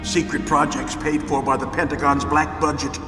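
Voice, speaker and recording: An actor playing a wounded, cynical older arms company president in a video game cutscene, haughty and defensive. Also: male voice, a little high, little pitch movement, moderate pace, close to an everyday voice. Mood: smug, explanatory. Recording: echoey reverb under loud game music.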